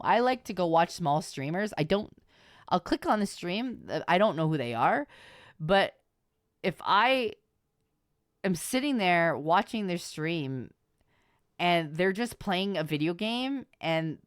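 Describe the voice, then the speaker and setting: A woman's voice: fairly low pitch, no room sound, studio quality. A woman streamer giving advice to her viewers in informal livestream chat, repeating her points for emphasis.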